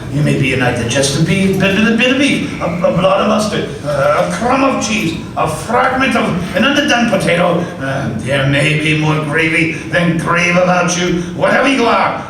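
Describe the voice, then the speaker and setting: Scornful old miser played by a man, stammering and blustery, lively and high-pitched, live radio play caught far off in an echoey room with noise. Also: normal speed, hardly any pauses. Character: old male miser, stubborn and scornful, easily frightened.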